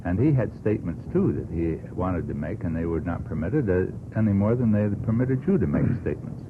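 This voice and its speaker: A man with a deep voice talks on a radio panel. He phrases things deliberately, piece by piece. The vintage recording is thin, with plainly audible noise. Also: expressive. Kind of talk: unscripted radio panel discussion. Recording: tinny, noisy vintage radio broadcast.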